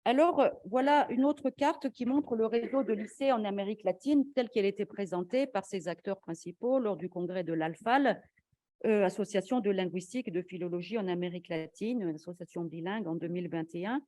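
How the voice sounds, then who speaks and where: A woman academic giving a formal conference lecture in a measured, argumentative way, as an invited speaker. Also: mid-range voice, dark tone, dry recording.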